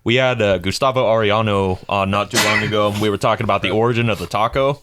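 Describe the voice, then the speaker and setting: A man's voice: fairly low pitch, fast, noisy background. A man hosting a podcast, easygoing and chatty in casual talk. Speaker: podcast host. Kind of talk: casual chat.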